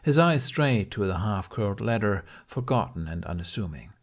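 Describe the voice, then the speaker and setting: A man's voice: fairly low pitch, sing-song, normal speed. A man reading scripted story narration as an audiobook narrator.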